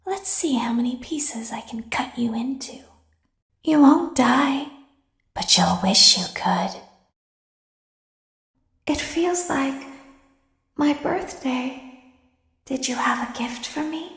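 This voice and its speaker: Creepy robot girl voiced by a woman, slow breathy whispers, kinda high, echoey room. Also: animated, exaggerated voice. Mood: menacing whisper. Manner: soft menacing whisper. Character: sinister girlish female animatronic, calm and cruel. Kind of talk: fan voice acting of game lines.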